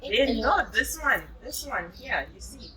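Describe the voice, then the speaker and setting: A woman's voice: a little high, animated, a little slow, distant mic, music in the background. A South African woman reading a picture book aloud to young children and explaining it, encouraging them and confirming what they say.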